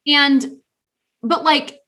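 A young woman co-hosting a podcast, chatting casually in an animated, sarcastic way and often laughing. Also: high voice, extremely slow. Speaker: podcast co-host. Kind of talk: casual chat.

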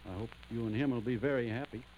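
An actor in an old radio drama playing a humble young backwoods farmer turned soldier, with a halting, folksy rural Tennessee drawl. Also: male voice, medium pitch, fairly even intonation, lo-fi sound, slight background noise, close to an everyday voice. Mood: bashful, hesitant. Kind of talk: 1940s radio drama acting.

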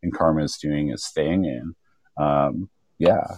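Very deep-voiced earnest male activist. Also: takes it slowly, darkish tone, no room sound, clean studio sound. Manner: earnest, rambling, many fillers. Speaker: activist guest. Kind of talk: casual chat.

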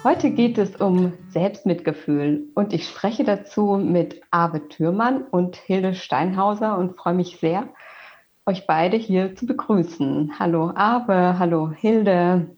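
A woman hosting a podcast on mindfulness, welcoming guests and asking calm, measured questions. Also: on the low side, muffled recording, soft background music. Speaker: podcast host.

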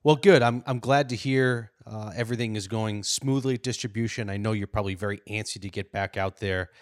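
A man's voice: fairly low pitch, brisk, no room sound, clean studio sound. A man from upstate New York who hosts a podcast and interviews his guest in a friendly, affirming way. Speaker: podcast host. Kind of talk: casual interview chat.

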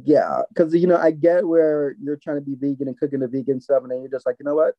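A man with a Jamaican accent in casual chat, retelling his reactions in an animated way, on a slightly lo-fi recording. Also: mid-range voice, quick pace, no room sound.

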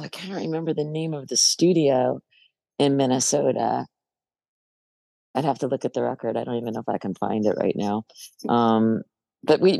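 Gravelly low-voiced American woman, dry sound. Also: dark tone, dry recording. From New Jersey, USA. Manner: reflective, hesitant, laughs often. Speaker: guest musician. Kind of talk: casual interview chat.

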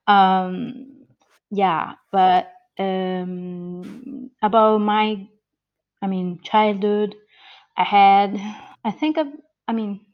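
A woman in her thirties with an Italian accent talks slowly as a podcast guest. She hesitates, restarts her sentences and fills the gaps with ums. Her audio sounds slightly muffled and dull. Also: medium pitch. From born in the US, grew up in Italy. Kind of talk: interview answers.

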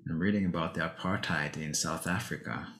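A man from Norway speaking in a measured, thoughtful way with some hesitations, hosting a reflective conversation. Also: low voice, a bit bright, roomy sound.

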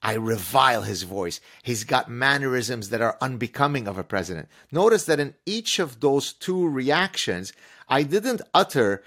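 A man's voice: medium pitch, moderate pace. Emphatic, sarcastic male podcast guest. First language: Arabic. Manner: emphatic, sarcastic, animated storytelling. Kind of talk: podcast conversation.

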